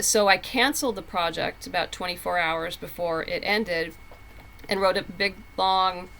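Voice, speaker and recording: Bright-voiced, lower-pitched woman in conversation. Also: poor audio. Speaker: guest comic book writer. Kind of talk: casual podcast interview conversation.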